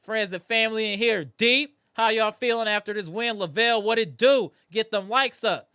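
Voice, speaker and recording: A man hosting a live postgame basketball reaction stream, animated and greeting viewers in the chat, his voice strained from yelling. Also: high-pitched, moderate pace, clean tone, no room sound, clean studio sound. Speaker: livestream host.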